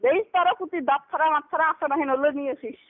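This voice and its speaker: A woman's voice: high-pitched, rapid-fire. A woman with a bantering casually in a taunting, sarcastic manner over a phone call. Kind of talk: casual teasing phone argument. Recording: tinny, band-limited phone call recording.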